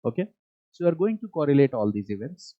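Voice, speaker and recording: A male Indian coaching teacher with an Indian English accent, giving a live online lecture, repeating points slowly for dictation and mixing English with Hindi. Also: on the high side, sing-song, no echo. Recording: tinny phone-like audio.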